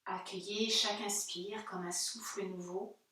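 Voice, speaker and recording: A woman yoga teacher leads a guided meditation at an unhurried, slow pace. Her delivery is gentle and soothing, with pauses between phrases. She is heard a little far from the microphone in a strongly reverberant room. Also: medium pitch, steady tone. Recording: very echoey room, distant mic.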